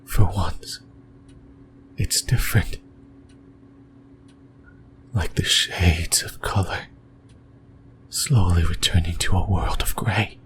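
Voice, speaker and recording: A man playing a possessive, lonely sleep paralysis demon in a creepy ASMR roleplay, whispering breathily in slow, halting phrases. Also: deep voice, fairly even intonation, a bit bright, a little hiss or hum. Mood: desolate, yearning. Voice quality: breathy, mostly voiceless whisper. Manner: slow, deliberate, halting phrases with long pauses.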